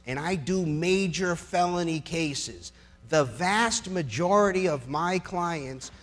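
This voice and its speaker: A middle-aged American man giving a persuasive public speech as a lawyer, emphatic and rhetorical with a sardonic edge. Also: fairly high pitch.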